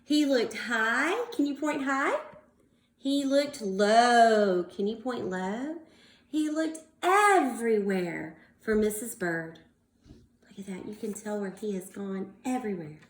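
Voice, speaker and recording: A woman reading a picture book aloud to young children as a teacher, expressive and drawn-out, pausing to put questions to them. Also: a little high. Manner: animated, drawn-out delivery, prompting young listeners. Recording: roomy, echoing indoor sound.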